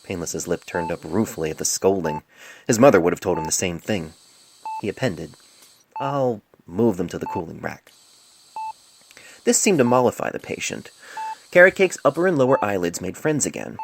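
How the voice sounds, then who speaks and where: Man, animated story narration, measured literary phrasing, gravelly edge, noisy amateur cellphone recording. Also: low-pitched. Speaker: author reading own fan fiction. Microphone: cell phone microphone.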